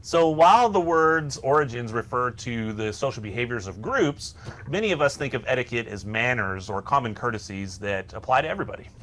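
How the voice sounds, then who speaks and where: A man's voice: mid-range voice, expressive, brisk, fairly bright tone, slight background noise, rough recording. A man co-hosting a workplace tech show, giving semi-scripted tips in a casual, instructional way with some bantering asides.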